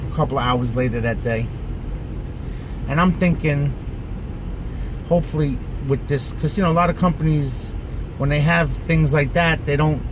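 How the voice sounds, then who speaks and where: A man in his forties telling a chatty, exasperated story to his viewers from inside his car. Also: mid-range voice. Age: 40s. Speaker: vlogger. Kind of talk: casual rant to camera. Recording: steady air conditioning hum.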